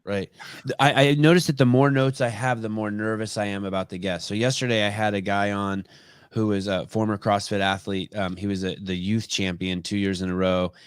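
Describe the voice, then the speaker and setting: A man hosting a casual interview podcast, a native Armenian speaker, talking in a halting way with repeated phrases and restarts. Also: on the low side, steady tone, clean tone, dry recording, clean studio sound. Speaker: podcast host.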